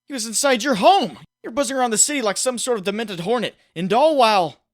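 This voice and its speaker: A man's voice: extremely high pitch, lively intonation, fast talker. A man playing a young male superhero in a comic book dub, anguished and frantic, with a brooding, self-mocking monologue that bursts into urgency. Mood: agitated, anguished. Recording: roomy reverberant voice, faint noise.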